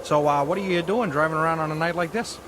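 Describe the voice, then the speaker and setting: A man's voice: a little high, quick pace, close to an everyday voice. An actor playing a chatty male police officer at a night traffic stop. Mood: folksy, joking. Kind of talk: acted audio drama dialogue. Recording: noisy outdoor roadside sound.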